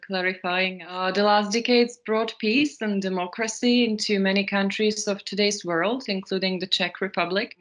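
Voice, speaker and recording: A woman hosting an interview, asking measured, formal questions. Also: medium pitch, a bit bright.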